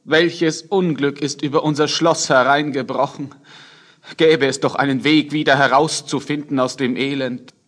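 A man's voice: medium pitch, steady tone, moderate pace, fairly bright tone, close to an everyday voice. A man playing an earnest, determined young prince, the eldest son, in a fairy-tale radio play. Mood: lamenting.

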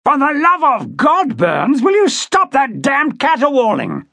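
A male narrator plays an irate naval officer from a historical audiobook, held prisoner and full of contempt. The voice is harsh and growling, pitched well up and swinging widely, in a very dry, close recording. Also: very animated, brisk, fairly bright tone, no room sound, full character voice. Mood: furious. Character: angry, contemptuous English naval lieutenant, a prisoner.

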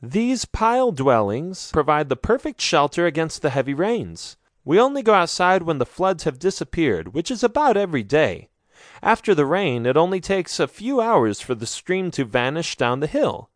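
A bright, eager young village woman, sweet and curious, tossing out excited questions in a high, lively voice, as a man reading a story puts her on. The sound is dry and close. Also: moderate pace, dry recording. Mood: cheerful.

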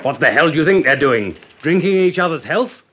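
An actor playing an irascible newspaper editor barking orders down the phone in a vintage radio drama. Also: male voice, fairly high pitch, lively intonation, brisk, fairly bright tone, husky. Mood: irritated. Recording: noisy old radio drama recording.

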